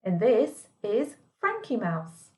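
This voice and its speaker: A woman's voice: a little high, sing-song, takes it slowly. A woman playing a composed, helpful young space traveller in an amateur audio drama.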